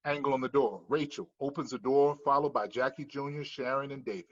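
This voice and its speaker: A man's voice: mid-range voice, brisk, dull audio. Male narrator reading screenplay stage directions.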